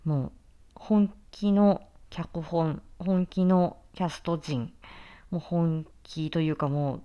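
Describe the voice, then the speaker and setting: Low-voiced, earnest woman speaking deliberately. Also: clear, resonant tone, dull audio. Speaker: voice actor introducing a production. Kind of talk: reflective promotional talk.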